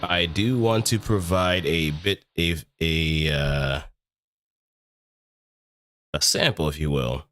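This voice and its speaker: A man streaming a casual tutorial, explaining things step by step in a deliberate, halting way with emphatic repetition. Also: a bass voice, steady tone, fairly bright tone, dry recording.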